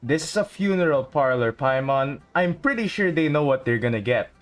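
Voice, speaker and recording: A woman's voice: rumbling low, animated, moderate pace. A woman game streamer reacting casually and reading aloud as she plays. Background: faint game music.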